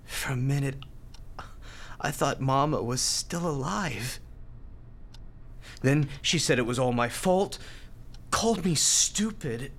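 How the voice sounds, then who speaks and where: An actor in a radio drama playing a grieving, bitter young hospital volunteer, tough but tender, speaking bluntly and haltingly. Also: male voice, medium pitch, expressive, a bit bright, exaggerated voice. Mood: bitter, hurt. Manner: halting, emotional, blunt street talk.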